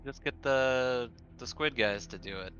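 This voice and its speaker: Bright-voiced man on a dull mic. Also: medium pitch, unhurried, soft background music. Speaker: tabletop game player. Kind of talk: deliberate in-character game talk. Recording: dull, lo-fi microphone sound.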